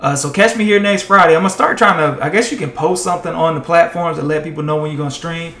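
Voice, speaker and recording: A man streaming tech content live, talking loosely and chatting with viewers, his voice tiring and going hoarse after hours of talk. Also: on the high side, quick pace, fairly bright tone, reverberant. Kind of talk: casual live-stream chat.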